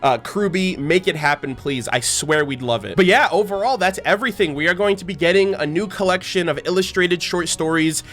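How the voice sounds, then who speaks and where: A man's voice: sits high, a little fast, hardly any pauses, fairly bright tone, faint music behind. A man giving casual, enthusiastic, rambling fan commentary as a channel host. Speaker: host of a fan channel about an animated series.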